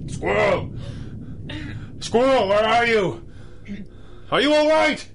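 An actor playing a resolute, wry masked superhero with crisp authority in a radio drama. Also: male voice, very high voice, lively intonation, slow, background noise, heavily acted. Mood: worried. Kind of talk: 1930s-style radio drama acting.

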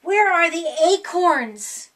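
Storybook dog, young male played by a woman: very high, earnest, emphatic delivery. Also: big pitch swings, slow, fairly bright tone, heavily acted. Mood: anxious questioning.

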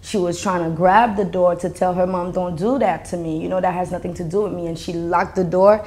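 A woman's voice: fairly low pitch, fairly even intonation, a little fast, a little mellow. A young Haitian woman with a Haitian accent, talking earnestly as an interview guest in an indoor studio. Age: young adult. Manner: earnest, switching between English and Haitian Creole. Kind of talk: candid conversational interview.

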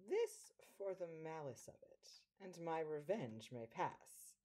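A woman plays a scheming devil in an audio drama, vengeful and mindful of its duty to hell. The voice is low and mellow, the delivery measured and theatrical. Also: very animated, heavily acted. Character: devil, vengeful yet anxious about its duty. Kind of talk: amateur audio-drama soliloquy.

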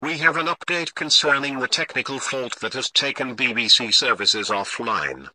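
A man playing a calm, formal television emergency news announcer, reading a scripted bulletin in a measured way. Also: medium pitch, dry recording, rough recording. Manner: formal, measured, read-out delivery.

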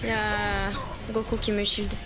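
A woman's voice: mid-range voice, completely flat delivery, unhurried. A young woman streaming a team shooter game, chatting playfully with her teammate and laughing often. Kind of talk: casual gaming chat. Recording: game music behind.

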